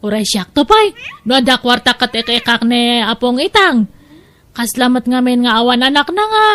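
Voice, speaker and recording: A woman's voice: fairly high pitch, expressive, normal speed, a bit bright, a little hiss or hum. A woman playing a sympathetic village neighbor in an audio drama, speaking in a conversational, gossipy way.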